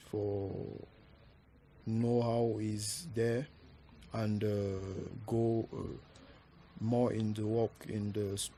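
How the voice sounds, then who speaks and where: A man from Cameroon speaks slowly, hesitating and searching for words between phrases. His low voice is dark and mellow, with an even, flat delivery. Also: a little hiss or hum. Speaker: MMA fighter guest. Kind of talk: interview answers in halting English.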